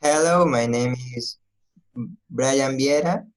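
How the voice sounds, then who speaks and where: A young adult from Colombia, an animation student introducing himself carefully over a video call. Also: medium pitch. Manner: slow, careful.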